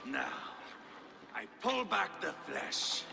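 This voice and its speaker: An actor playing an unstable, sadistic Viking warrior in a video game, gravelly and theatrical, savouring each phrase. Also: male voice, sits high, huge pitch swings, slow, heavily acted. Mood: sadistic relish. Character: unstable, sadistic, gleeful male Viking warrior hungry for glory. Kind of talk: video game cutscene acting.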